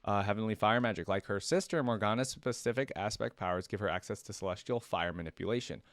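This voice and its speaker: A man's voice: on the low side, fast, no echo. A man hosting a casual reaction video, reading reference text aloud and breaking off for informal commentary. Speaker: YouTube reaction host.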